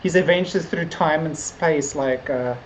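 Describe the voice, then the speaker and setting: A woman's voice: low-pitched, measured pace. A woman co-hosting a podcast in casual, unscripted chat, with a rambling, hesitant, loosely phrased delivery. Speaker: podcast co-host. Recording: dull lo-fi audio, background noise.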